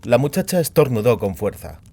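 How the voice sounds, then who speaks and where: A man's voice: medium pitch, big pitch swings, brisk, warm tone, breathy edge. A man reading scripted narration for a fantasy audiobook.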